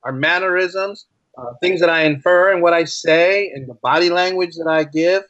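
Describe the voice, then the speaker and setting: A man's voice: fairly high pitch, moderate pace, fairly bright tone, dry recording. Male American interview guest, emphatic and conversational, slightly dull audio with very little room sound. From United States. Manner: emphatic, repeats phrases for stress, uses rhetorical questions. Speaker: podcast guest, teacher.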